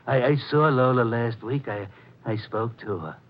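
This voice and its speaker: A man's voice: medium pitch, normal speed, close to an everyday voice. An actor playing a distraught, deluded, obsessive man in a 1940s radio thriller, stammering and insistent. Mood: anguished denial. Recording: noisy, muffled 1940s radio recording.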